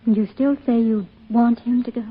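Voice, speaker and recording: A woman's voice: a little high, steady tone, moderate pace, husky. A woman playing an anxious, protective mother in an old-time radio drama, earnest and pleading. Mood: worried, pleading. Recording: tinny, band-limited old radio recording.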